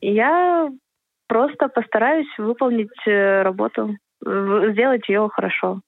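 A woman of twenty, an athlete answering interview questions in a hesitant, halting way over a phone call. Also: medium pitch, creaky, no echo. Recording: narrow telephone line, dry.

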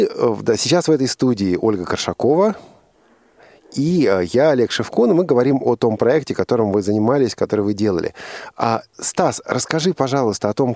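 A man's voice: on the low side, vocal fry, a little mellow, no echo. A man hosting a radio show from a studio, asking probing questions in a conversational style and rephrasing as he goes. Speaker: radio host and interviewer.

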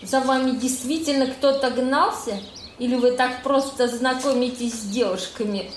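An actress playing a wistful, wry young single woman with a gently ironic manner in an amateur audio play. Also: sits high, moderate pace, lightly acted. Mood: startled, wary. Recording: roomy echo, noisy birdsong ambience.

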